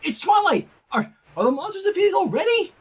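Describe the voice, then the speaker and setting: Story reading: flustered teenage girl babysitter, acted by a man, swooping pitch, urgent and huffy. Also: sing-song, brisk, recorded from a distance. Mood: panicked. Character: flustered, exasperated teenage girl minding a baby.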